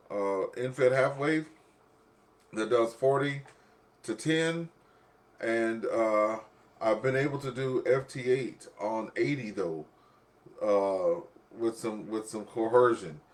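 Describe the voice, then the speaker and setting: A man hosting an amateur radio net in a casual, rambling, chatty way, often repeating himself. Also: medium pitch. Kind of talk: casual ham radio chat.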